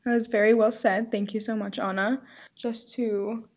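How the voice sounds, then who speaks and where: A woman's voice: on the high side, level tone, moderate pace, dull audio. A young woman hosting a casual podcast interview, effusive and full of fillers. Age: young adult. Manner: warm, effusive, many fillers. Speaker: podcast host.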